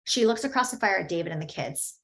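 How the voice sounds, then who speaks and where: A woman reading a screenplay's stage directions aloud at a table read, steady and matter-of-fact. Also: medium pitch, fast talker.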